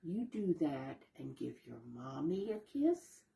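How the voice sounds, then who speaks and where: A woman reading a picture book aloud to young children, explaining the pictures simply and gently as she goes. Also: sits low, very animated, takes it slowly, vocal fry, distant mic, a little hiss or hum. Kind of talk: expressive read-aloud storytelling with asides to young listeners. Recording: roomy echo, voice away from mic.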